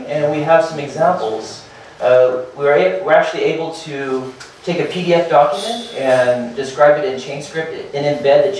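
Man talking fast in an echoey room. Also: mid-range voice, far from the mic, slight background noise. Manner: hurried, filler-heavy, restarts and repeats words. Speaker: startup presenter. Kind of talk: conference talk. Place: meetup room with projector and seated audience.

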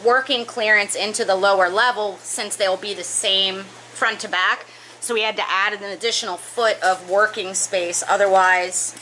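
A woman's voice: medium pitch, sharp tone, slight background noise, poor audio. A woman in her thirties casually explaining a project step by step in her basement fish room, with a few fillers. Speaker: aquarium hobbyist vlogger.

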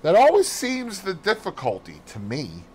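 A man voicing an earnest, easily nettled gentleman companion in an audiobook, puzzled and protesting. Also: sits high, huge pitch swings, normal speed, breathy edge, voice pushed up, exaggerated voice. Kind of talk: audiobook character voice.

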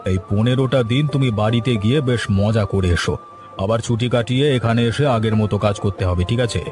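A man acts out a benevolent Bengali company boss in a cartoon. He speaks in a lowish voice, slightly formal and encouraging. Faint music runs underneath. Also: a little fast, natural voice. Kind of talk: Bengali cartoon story voice acting. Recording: music behind the voice.

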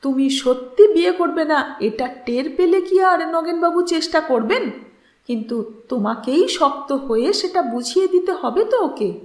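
A woman's voice: sits high, expressive, measured pace, a little mellow, echoey room, voice pushed up. A woman voicing a firm male mentor who admonishes and persuades, in a story reading. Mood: firm advice. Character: firm, reasoning older male mentor advising a young woman.

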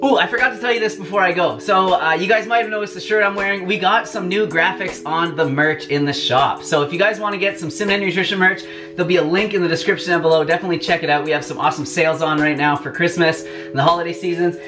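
A man's voice: high voice, quick pace, music in the background. A young man hosting a cooking vlog from his small home kitchen, talking to the camera in an upbeat, chatty way. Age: young adult. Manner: upbeat, chatty, addresses viewers as "you guys". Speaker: cooking channel host.

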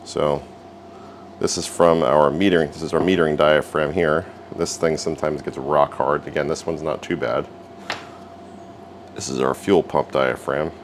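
A man's voice: extremely low pitch, normal speed, a little hiss or hum. A man hosting a repair video, narrating casually and wryly as he works at a garage bench. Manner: relaxed, wry, talks while working.

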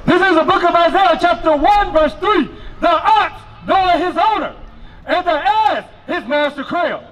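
A man reading scripture aloud slowly and loudly on a city sidewalk outdoors, off the mic. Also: extremely high pitch, poor audio. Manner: loud, slow, declaiming reading. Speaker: scripture reader. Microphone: off-mic, picked up from a few feet away.

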